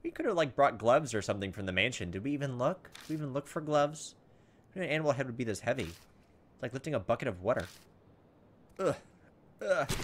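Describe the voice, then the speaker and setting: A man playing through a game as host, reading its text aloud and dropping in informal, muttered asides. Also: mid-range voice. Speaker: let's play host. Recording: steady background noise over the voice.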